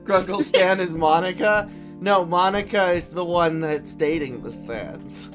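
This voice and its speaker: A woman's voice: lowish voice, unhurried, music in the background. A woman hosting a casual live game stream and chatting with co-players and viewers. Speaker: livestream host.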